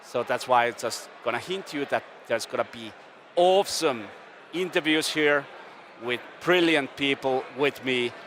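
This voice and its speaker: A man's voice: mid-range voice, background noise. A man from Finland with a Finnish accent, hosting a live event stream from a desk upstairs at a restaurant venue, chatty and playful. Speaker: stream host.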